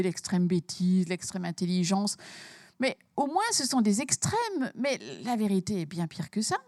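A woman's voice: medium pitch, darkish tone, no room sound. Woman author talking up her book, punchy, restarts a lot, dry close mic. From Belgium. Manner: emphatic, repeats words when starting. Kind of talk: interview about her book.

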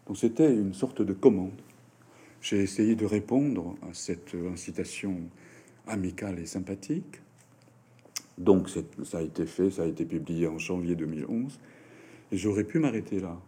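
Guy talking french in an interview, husky and lowish voice, lots of hesitant pauses. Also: dark tone. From Japan. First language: Japanese. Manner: hesitant, halting, with frequent fillers and restarts. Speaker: writer and French teacher, interview guest. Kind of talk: interview answers.

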